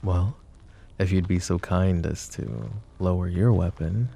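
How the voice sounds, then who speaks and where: A man playing a suave, possessive mafia boss in an intimate roleplay, speaking with mock courtesy and a teasing drawl in a low, gravelly voice. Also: measured pace, warm tone, heavily acted. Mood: courteous, coaxing. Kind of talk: roleplay ASMR acting, intimate address to listener.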